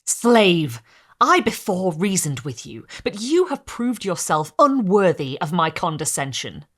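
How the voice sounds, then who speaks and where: A woman voicing a wretched, vengeful male creature who speaks in a low, smothered, threatening way. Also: big pitch swings, unhurried.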